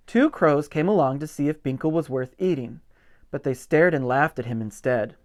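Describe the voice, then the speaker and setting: A man reading a picture book aloud as a pastor at storytime, with gentle expression and simple asides for young children. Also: a little high, moderate pace. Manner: gentle, expressive, stretches key words for emphasis.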